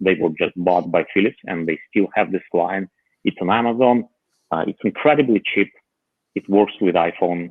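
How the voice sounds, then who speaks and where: A low-voiced man talks through his home gadgets in a loose, halting way, full of "uh"s and restarts. His voice comes over a thin, phone-like line with no room sound around it. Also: no room sound. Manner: halting, many fillers and restarts. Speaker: podcast panelist. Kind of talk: casual tech chat.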